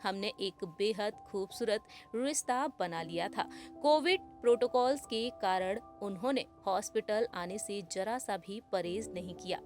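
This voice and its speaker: A woman presenter reading a scripted entertainment news report. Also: medium pitch.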